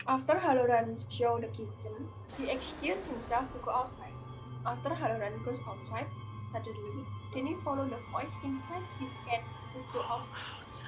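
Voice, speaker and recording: A woman's voice: a little high, normal speed, over music, rough recording. A woman reading scripted story narration as the narrator of a readers theater piece.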